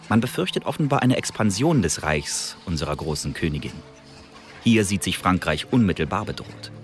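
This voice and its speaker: Ein Schauspieler spielt im Hörspiel einen englischen Spion, der als Student in Paris knapp und sachlich Bericht erstattet. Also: male voice, lowish voice, natural voice. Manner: clipped, military report phrasing. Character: young male English spy studying in Paris, brisk, loyal. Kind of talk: radio drama, dictated report.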